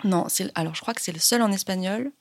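A woman's voice: medium pitch, quick pace, creaky, dry recording, clean studio sound. A woman singer-songwriter answering interview questions in a hesitant, chatty way, with many fillers and frequent laughs. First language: Spanish.

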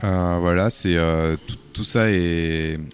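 Laid-back, low-voiced man chatting over faint music. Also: fairly even intonation, slow. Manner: laid-back, hesitant, slangy. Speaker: musician, radio guest. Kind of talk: casual interview chat. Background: music playing quietly.

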